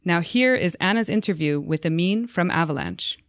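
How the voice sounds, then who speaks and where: A woman, a podcast producer, reading a sponsor message in a steady, even way. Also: lowish voice, moderate pace, over loud music.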